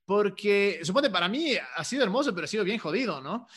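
Male interviewer, high bright voice, draws out long questions. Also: big pitch swings, fast talker. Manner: prompting, asks long questions. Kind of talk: conversational interview.

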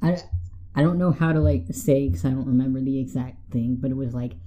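A young man co-hosting a casual podcast, talking haltingly with many fillers and restarts. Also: mid-range voice, warm tone. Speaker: podcast co-host. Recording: tinny phone-like sound, faint music.

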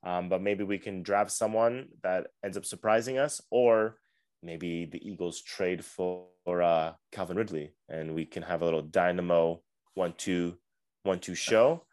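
A man's voice: sits low, unhurried, a little mellow, clear, resonant tone. A man co-hosting a casual sports podcast, easygoing, hedging his takes and laughing often. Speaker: podcast co-host.

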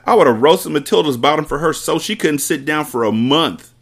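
A man reading aloud and voicing a huge, bullying headmistress who barks and shouts with contempt. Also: a little high, animated, fairly quick, vocal fry, voice pushed up. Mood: bellowing scornful. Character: bullying hulking female headmistress, furious.

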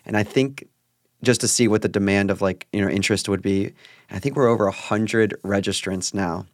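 A man's voice: fairly low pitch, fairly even intonation, a little fast, no room sound, studio quality. A man hosting a podcast, chatting casually and rambling through stories with the odd swear. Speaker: podcast host. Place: at a park.

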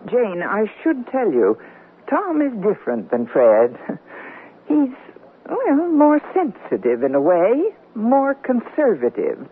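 Husky, proper, tart wife. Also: female voice, a little high, wildly swooping pitch, takes it slowly, full character voice. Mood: polite, guarded. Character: polite, protective, quietly tart married woman. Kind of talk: 1970s American radio comedy acting. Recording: muffled band-limited radio recording.

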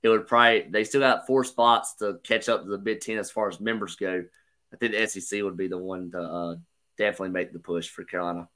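Lower-voiced hoarse male co-host. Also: steady tone, quick pace, sharp tone. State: slightly hoarse. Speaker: podcast co-host. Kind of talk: casual sports chat.

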